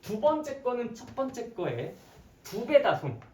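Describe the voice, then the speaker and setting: Hoarse, animated math teacher in an echoey room. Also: mid-range voice, measured pace. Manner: playful, questioning, conversational. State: hoarse, frequent throat clearing. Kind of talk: interactive classroom lecture. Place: classroom with students. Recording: echoey, distant mic, background noise.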